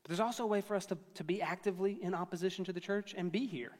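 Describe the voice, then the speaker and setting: A man's voice: high voice, fairly even intonation, very fast, big-room sound. A man in his thirties preaching a sermon to a church, conversational and storytelling with self-deprecating humor. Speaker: pastor.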